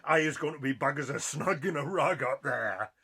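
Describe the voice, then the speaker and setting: A man's voice: medium pitch, expressive, normal speed, gravelly, pushed and bright, breathy edge, heavily acted. An actor playing a big, friendly, childlike old giant who muddles his grammar and invents words, in a dramatized children's audiobook. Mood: merry, eager.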